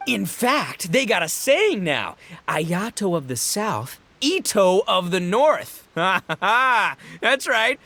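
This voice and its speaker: A man's voice: high voice, sing-song, slow pace, sharp tone, heavily acted. A man voicing a brash, boastful young gang boss and rocker in a video game, with cocky, boisterous, slangy delivery. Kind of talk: animated video game voice acting.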